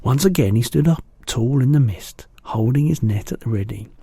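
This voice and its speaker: A man, a teacher, reading a children's book aloud in steady, low-key narration. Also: normal speed, husky, no echo.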